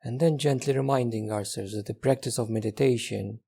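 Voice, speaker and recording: Husky, mellow man guiding meditation. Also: mid-range voice. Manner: gentle, unhurried instruction with long pauses. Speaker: meditation guide. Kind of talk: guided meditation narration.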